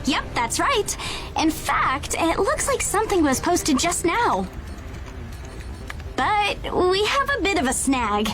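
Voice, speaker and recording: A woman's voice: very high-pitched, sing-song, takes it slowly, exaggerated voice. An actress playing a polite, earnest young woman who works as a ship's operator in a video game, briefing in a neat, courteous way. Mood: welcoming. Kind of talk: video game cutscene acting. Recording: prominent game music behind the voice.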